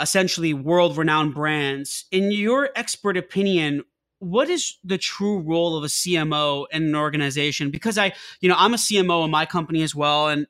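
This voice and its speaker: A man's voice: on the high side, a bit bright. A man hosting a business podcast, reading an introduction and then asking questions with many restarts and fillers. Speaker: podcast host. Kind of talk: podcast interview.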